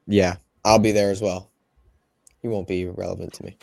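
A male co-host you'd hear on a fantasy football livestream, arguing picks in a fairly deep voice, close and dry. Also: level tone, normal speed, gravelly, a little mellow, no room sound. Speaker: fantasy football analyst on a panel. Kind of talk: casual sports debate.

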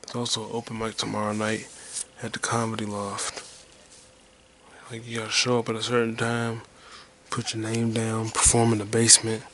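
A man in his twenties talks in a low, monotone murmur, drifting from thought to thought with a detached, low-energy air. His voice sits deep and barely rises or falls, and a faint hiss hangs under the recording. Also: moderate pace, long pauses. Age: 20s. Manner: low-key, detached, mumbling; whispered rambling. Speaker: podcast host. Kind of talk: rambling solo podcast monologue.

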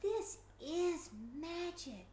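Curious little boy as a woman puts him on, halting, amazed, lifted pitch, roomy echo. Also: big pitch swings, slight background noise, full character voice. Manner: wondering, halting, stammers.

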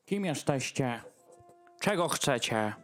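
A woman voices a harried male dog in a radio play. He fusses over his household in theatrical rhyme at a slow pace, with faint music behind him. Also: a bass voice, lively intonation, full character voice. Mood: wary. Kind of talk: rhymed audio drama acting.

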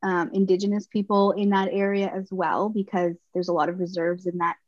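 A woman in her thirties from Canada, speaking as a podcast guest in a thoughtful, halting way with frequent restarts. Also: medium pitch, little pitch movement, a little mellow, no room sound. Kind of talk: personal conversation. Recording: slightly muffled dry sound.

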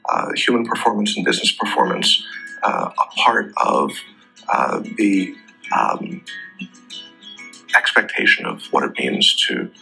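A man presenting in an explanatory business talk, phrasing haltingly with frequent hesitant pauses. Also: lowish voice, gravelly, pushed and bright, slightly rough, rough recording. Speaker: presenter for a data technology company.

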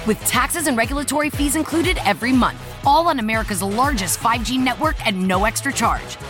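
A woman's voice: on the high side, a little fast. Woman reading an ad over music. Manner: upbeat, polished sales delivery. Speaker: ad announcer. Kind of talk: scripted commercial read.

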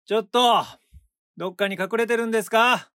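An actor playing a blunt art patron in a radio drama, talking brusquely and colloquially, then narrating in a hushed voice. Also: male voice, sits high, steady tone, brisk, smooth, dry recording, studio quality. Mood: impatient. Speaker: former patron of the painter. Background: background music.

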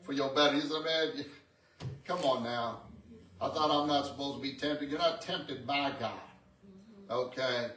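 A man's voice: medium pitch, moderate pace, pauses often, a bit bright. Guy in his 60s preaching conversationally, keeps saying amen and okay, roomy sound, mic kinda far off. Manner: repeats words, seeks congregation's agreement with amen and okay. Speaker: pastor preaching. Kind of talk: conversational sermon teaching. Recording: echoey room, distant microphone.

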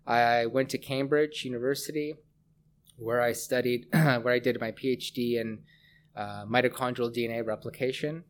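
A middle-aged Canadian man speaking as a scientist guest in a conversational interview, pausing often as he thinks. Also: mid-range voice, slight background noise. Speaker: interview guest, research scientist and lab head.

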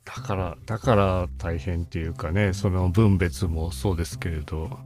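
A man's voice: low-pitched, fairly even intonation, moderate pace, darkish tone. A middle-aged man chatting casually and reflectively as a podcast guest. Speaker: guest, band saxophone and flute player. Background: guitar music.

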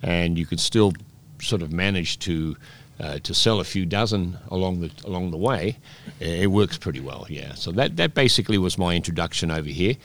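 A man in his sixties, raised in Peru, telling rambling stories with many restarts as a guest in a relaxed interview. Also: low voice, fairly quick. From Scotland, raised in Peru. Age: 60s. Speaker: guest, oyster bar operator.

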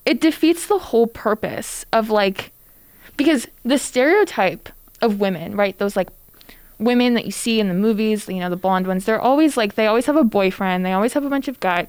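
A woman's voice: mid-range voice, brisk, clear, resonant tone, dry recording. A young woman co-hosting a podcast, chatting casually in a blunt, sarcastic way with lots of "like". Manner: blunt, sarcastic, heavy use of "like". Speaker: podcast co-host.

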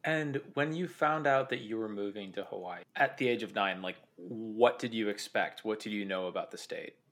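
A man's voice: lowish voice, normal speed, fairly bright tone. A man hosting a casual interview podcast, asking friendly, curious questions with lots of "uh"s. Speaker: podcast host.

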